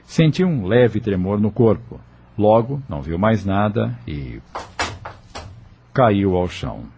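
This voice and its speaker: A man's voice: on the low side, warm tone, slight background noise. A man narrating a scripted audio novel as its storyteller. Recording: faint music behind.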